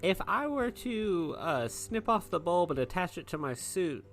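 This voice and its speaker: A man's voice: sits high, very animated, a little slow, a bit bright, faint music behind. A man playing a tabletop roleplaying game, talking informally and improvising, often repeating his words. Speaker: player in a tabletop RPG podcast. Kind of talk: casual improvised game chat.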